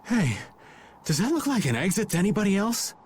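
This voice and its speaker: A man's voice: sits high, sing-song, a little fast, a little hiss or hum. A man voicing a wry, folksy middle-aged game character who complains with playful self-pity. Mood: exasperated. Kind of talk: video game voice acting.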